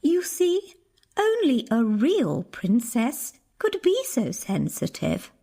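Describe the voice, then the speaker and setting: A woman playing a shrewd, kindly queen in a children's storybook, speaking in a gracious, theatrical and deliberate way. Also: a little high, wildly swooping pitch, gravelly, warm tone, husky, heavily acted. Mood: gracious, pleased. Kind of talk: children's interactive storybook acting. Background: faint music.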